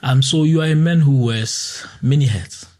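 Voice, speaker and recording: A man hosting a podcast interview, putting slow, measured questions with many ums. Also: mid-range voice, darkish tone. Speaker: podcast host.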